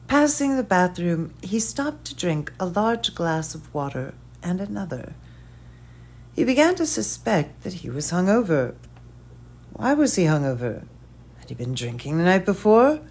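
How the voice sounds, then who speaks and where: A woman reading a novel aloud as narrator, measured and dropping softly at the ends of phrases. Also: fairly low pitch. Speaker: audiobook reader. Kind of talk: scripted narration.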